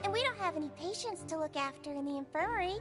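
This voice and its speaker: A woman voicing a gentle, soft-spoken nurse from a non-human species, in game dialogue. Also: very high-pitched, fast talker. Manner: soft, calm and kindly. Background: game music.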